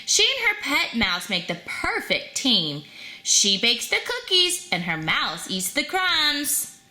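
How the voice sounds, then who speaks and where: Woman storybook reader for young children, higher-pitched and very lively, expressive delivery. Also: slow. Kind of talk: children's story read aloud.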